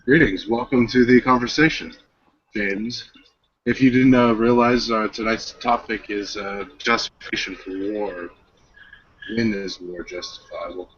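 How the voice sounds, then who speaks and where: A man hosting a casual discussion on a video call, measured and clarifying, with fillers. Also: fairly low pitch, fairly even intonation, fairly bright tone, slightly rough, no echo. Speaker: host. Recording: dull online call audio.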